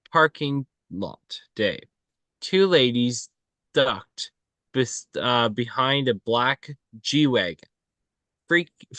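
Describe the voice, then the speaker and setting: A man reading screenplay action lines aloud, halting and breaking them into short phrases. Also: medium pitch, sharp tone, no room sound. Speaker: table read participant.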